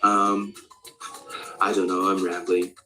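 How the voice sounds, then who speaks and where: A male speaker of around forty from Australia, practising an American accent in a rambling, halting, candid and sweary casual monologue. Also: sits low, completely flat delivery, bright, forceful tone, faint music behind. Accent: American, put on over native Australian. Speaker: actor introducing themself.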